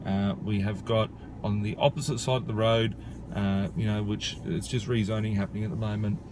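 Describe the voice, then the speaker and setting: A man's voice: fairly low pitch, level tone, a little fast. A man in his thirties from Australia, a property investor talking casually to camera outdoors, with many "uh" and "you know" fillers. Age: 30s. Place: outdoors beside a rural property. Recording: outdoor noise with traffic.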